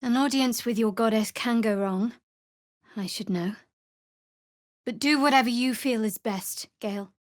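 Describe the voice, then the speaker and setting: An actress playing a guarded, sardonic young woman cleric devoted to a dark goddess in a video game, with dry, wry, measured delivery. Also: on the high side, dry recording, lightly acted. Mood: guarded, composed. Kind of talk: video game character voice lines.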